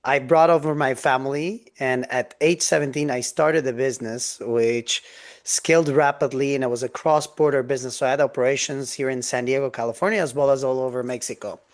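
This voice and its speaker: A man's voice: medium pitch, steady tone, a little fast. A man, a guest on a business podcast, telling his story in an earnest, eager way over a video call. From Turkey. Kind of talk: interview storytelling.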